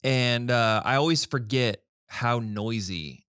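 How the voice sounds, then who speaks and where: A man's voice: medium pitch, slow, fairly bright tone, clean tone, no echo, clean studio sound. A man in his thirties hosting a casual church podcast, playful and jokey, laughing often. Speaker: podcast host.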